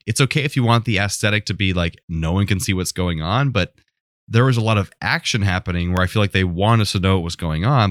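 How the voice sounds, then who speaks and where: A man's voice: sits low, no echo, clean studio sound. A man co-hosting a podcast, explaining his thoughts in a casual, conversational way. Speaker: podcast co-host. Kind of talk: casual chat about a TV show.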